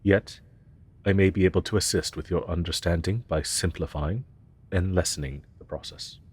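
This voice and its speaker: A man's voice: deep voice, normal speed, a little hiss or hum, close to an everyday voice. A man voicing a haughty, grandiose but tender dragon professor in a scripted fantasy roleplay, speaking in archaic, pompous, formal phrases. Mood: lofty, patient.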